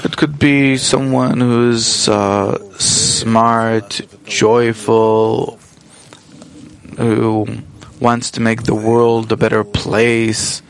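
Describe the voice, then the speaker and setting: A man interpreting a spiritual teacher live, working through each thought in measured, halting phrases. His delivery is unhurried, and his mid-range voice carries a gravelly edge. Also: mid-range voice, little pitch movement, a crowd in the background. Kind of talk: simultaneous interpretation from another language.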